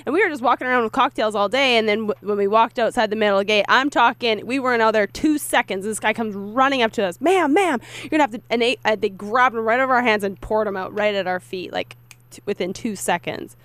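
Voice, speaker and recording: A woman's voice: on the high side, fast, a bit bright, no room sound. A female radio co-host telling stories in a chatty, easily amused way. Her voice is recorded dry and close in the studio. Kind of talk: casual sports radio banter.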